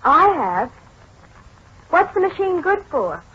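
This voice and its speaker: An actress playing a blunt, inquisitive newspaper reporter in a 1940s radio drama, asking plain, persistent questions. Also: female voice, very high-pitched, very animated, slow pace, heavily acted. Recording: dull 1940s radio broadcast.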